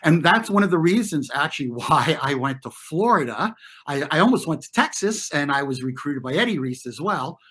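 A middle-aged man with an American accent, telling personal stories as an interview guest in an informal, halting way, often circling back to rephrase. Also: a little high, lively intonation, fairly bright tone, husky. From US, New York and New Jersey. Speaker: interview guest, former competitive swimmer. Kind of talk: reflective conversational storytelling.

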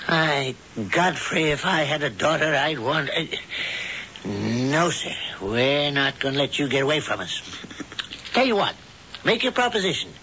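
Joviale, sinistere satanist, scherpe stem. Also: male voice, a little high, very animated, measured pace, sharp tone, full character voice. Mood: hearty, persuasive. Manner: avuncular, folksy, hearty. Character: folksy, genial Satanist husband hiding sinister intent. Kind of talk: old-time radio drama acting. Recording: dull, noisy old radio broadcast.

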